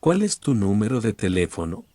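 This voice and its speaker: Lower-voiced man reading Spanish phrases. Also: normal speed, no room sound. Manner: careful, even delivery for repetition. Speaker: Spanish phrase reader in a lesson. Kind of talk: scripted phrase reading for learners.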